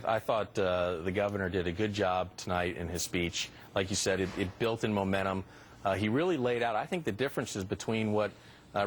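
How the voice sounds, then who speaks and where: Man, low pitch, hesitant live political punditry, slight background ambience. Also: slight background noise. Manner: halting, searching for words. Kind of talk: live news commentary.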